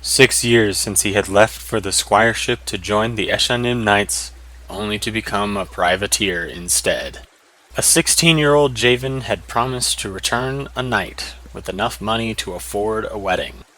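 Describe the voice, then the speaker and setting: A man narrating a fantasy short story in an even, descriptive manner. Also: fairly low pitch, moderate pace, low-quality recording.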